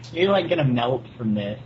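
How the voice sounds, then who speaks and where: Young guy cohost chatting casually, medium pitch, muffly mic w a bit of noise. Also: very animated, measured pace. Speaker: podcast co-host. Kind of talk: casual group banter. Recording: dull lo-fi voice chat, faint noise.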